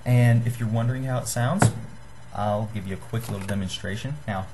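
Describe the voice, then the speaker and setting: A young man giving a casual how-to demonstration to a webcam in a small room, halting and apologetic. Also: medium pitch, very noisy. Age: young adult. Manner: halting, apologetic, self-deprecating. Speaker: hobbyist giving a homemade gadget tutorial. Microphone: webcam or computer microphone at desk distance.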